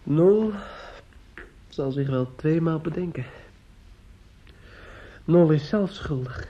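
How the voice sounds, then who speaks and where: A man acting a bitter, menacing nephew in a radio play, halting and repetitive, sneering and insistent. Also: fairly high pitch, expressive, mellow. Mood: bitter mockery. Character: bitter, menacing, grief-stricken nephew taunting his aunt. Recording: muffled, dull old radio recording.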